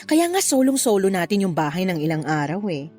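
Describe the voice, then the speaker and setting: A woman playing a flirtatious, unapologetic married mother in a Tagalog radio love drama, with a playful, expressive delivery. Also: lowish voice, a little fast, soft background music. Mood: flirty, playful. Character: flirtatious married mother having a secret affair, breezily unapologetic.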